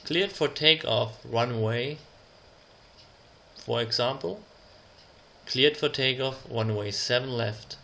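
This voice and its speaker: A man narrating an instructional slide presentation as a tutor, reading out example phrases in a deliberate, measured way. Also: mid-range voice, gravelly, pushed and bright.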